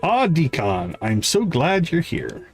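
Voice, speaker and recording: A man's voice: a little high, huge pitch swings, slow pace, voice pushed up, heavily acted. Kindly old village woman, played by a male streamer, affectionate and fussing, game dialogue over faint music. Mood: warm, grateful. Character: kindly, affectionate old village lady who loves cooking. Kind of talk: reading video game dialogue aloud. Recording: music playing behind the voice.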